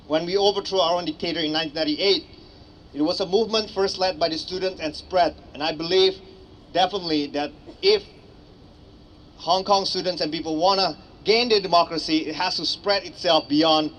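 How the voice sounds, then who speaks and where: Male activist, Indonesian accent, slightly high voice, earnest and emphatic public speech over some background noise. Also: fairly bright tone. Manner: earnest, emphatic, repeats phrases for effect. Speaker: activist speaker at a rally. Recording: clearly noisy ambient public recording.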